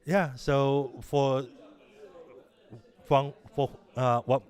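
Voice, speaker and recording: Halting middle-aged man from Taiwan. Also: medium pitch, level tone, a little mellow, smooth. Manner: halting, many restarts and fillers. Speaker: interviewed innovation lab director. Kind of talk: conversational interview answers.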